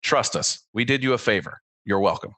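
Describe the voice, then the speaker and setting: A man's voice: lowish voice, animated, brisk, bright, forceful tone. A man hosting a radio sports segment, reading scripted satirical headlines in a mock-enthusiastic announcer delivery.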